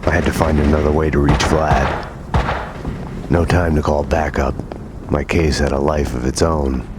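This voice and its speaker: An actor playing a haunted, world-weary police detective, narrating a noir game in a brooding deadpan. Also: male voice, very deep voice, moderate pace, very noisy. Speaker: narrator and lead detective character. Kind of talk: hard-boiled noir scripted narration. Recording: dramatic music under the voice.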